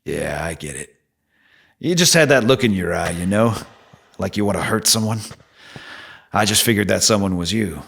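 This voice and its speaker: An actor playing a blunt, needling, foul-mouthed streetwise stranger who lives in the subway, in an audio drama. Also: male voice, lowish voice, lively intonation, moderate pace, creaky, pauses often, a bit bright, slightly rough, full character voice. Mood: grim, probing. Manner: blunt, profane, probing.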